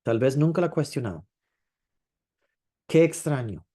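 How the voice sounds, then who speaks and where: A man preaching a sermon in a thoughtful way, pausing often and stretching out words he wants to stress. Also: mid-range voice, expressive, dark tone. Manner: thoughtful, with frequent hesitations and drawn-out stresses.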